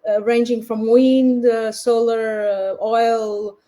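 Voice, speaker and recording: A woman's voice: a little high, flat delivery, slow, warm tone. A woman from Portugal giving a research presentation in a webinar over a video call, speaking hesitantly with many fillers and restarts.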